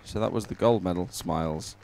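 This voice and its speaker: A man giving casual, informal live sports commentary in a clear voice, often hesitating as he thinks aloud. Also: deep voice, fairly quick, a little mellow, slight background noise.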